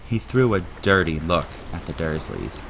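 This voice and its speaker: A man reading dramatised story narration in an expressive storytelling style. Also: deep voice, normal speed, gravelly, slight background noise, rough recording. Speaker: narrator and audio drama maker.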